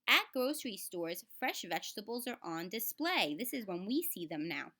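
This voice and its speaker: A woman's voice: mid-range voice, big pitch swings, normal speed, bright, forceful tone. Lady teacher reading a picture book to kids, stops to explain stuff and sound out words. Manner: instructive, addresses children directly, sounds out words. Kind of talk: read-aloud to children with explanatory asides.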